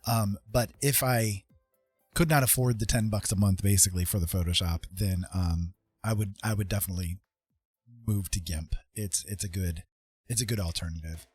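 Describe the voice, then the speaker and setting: A man in his fifties with a lowish, husky voice chats casually on a livestream as he draws. He is friendly and rambling, thinking out loud as he goes. Music plays softly behind him. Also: fairly even intonation, moderate pace, no room sound. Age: 50s. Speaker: art livestream host. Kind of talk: casual livestream chat while drawing.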